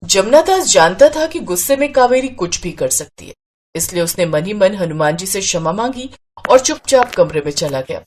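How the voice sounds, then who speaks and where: Female storyteller, medium pitch, scripted narration for devotional tales. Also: lively intonation, moderate pace.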